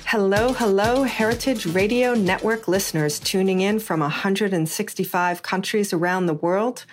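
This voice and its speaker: A woman's voice: mid-range voice, normal speed, soft background music. A woman from Hawaii hosting a podcast interview in an upbeat, conversational way. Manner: upbeat, conversational, some restarts. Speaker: podcast host. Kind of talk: casual interview chat.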